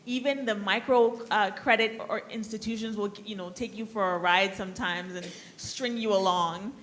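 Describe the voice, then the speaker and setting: A woman speaking informally from the floor at a conference, candid and wry, in a halting, discursive way. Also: medium pitch, fairly even intonation, fairly bright tone. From Liberia, grew up in the US. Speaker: audience member, central bank staffer. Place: conference hall, audience floor. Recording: noisy conference room microphone.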